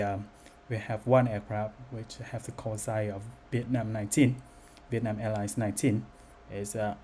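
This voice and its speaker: A man giving an unscripted software demo as its developer, speaking in a halting, hesitant way. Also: on the low side. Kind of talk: unscripted screen-recorded demo narration. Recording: noticeable background noise.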